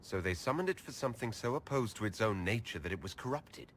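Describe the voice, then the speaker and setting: An actor playing a steady, supportive, plain-spoken male elven leader in a video game drama. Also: fairly low pitch, fast talker, a little hiss or hum, close to an everyday voice.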